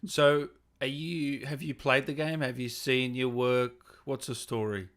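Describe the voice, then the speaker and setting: A man's voice: medium pitch, little pitch movement, unhurried, a bit bright. A man hosting a casual livestream interview, asking questions and reading out viewer comments. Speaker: interviewer and stream host.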